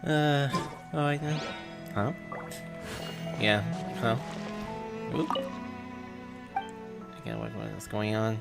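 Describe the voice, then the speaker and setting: A man's voice: fairly low pitch, takes it slowly, vocal fry, pauses often. A man chatting casually to livestream viewers in a hesitant, rambling, self-effacing way. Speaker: game livestreamer. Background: game music.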